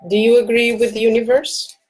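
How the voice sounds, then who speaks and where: A woman from Portugal with a Portuguese accent, hosting a live card reading. She speaks gently and reassuringly and checks in often with "okay?". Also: on the high side, level tone, fairly quick. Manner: soft, reassuring, often asks "okay?" and laughs. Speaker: tarot reader and live stream host.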